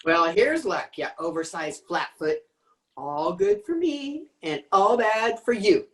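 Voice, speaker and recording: Woman playing a brassy, animated female crook. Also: on the high side, slow pace, creaky, exaggerated voice. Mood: cocky, taunting. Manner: slangy, sneering, cocky. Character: brassy, defiant female swindler, tough and mocking. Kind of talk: old-time radio crime drama acting. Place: home recording over video call.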